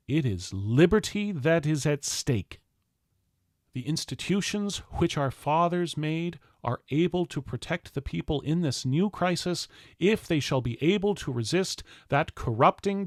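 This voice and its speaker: A man playing a 19th-century general, delivering a formal political address with loud, emphatic, solemn oratory. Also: fairly high pitch, dry recording, studio quality, voice pushed up. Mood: grave warning. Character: impassioned 19th-century general orating to the American people. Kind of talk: declamatory political oratory, formal speech reading.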